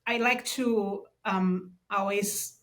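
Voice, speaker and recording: Brazilian-accented woman, measured and hesitant. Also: medium pitch, fairly even intonation, fairly bright tone. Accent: Brazilian Portuguese. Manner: measured, with frequent um and uh pauses. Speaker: guest. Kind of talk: casual interview.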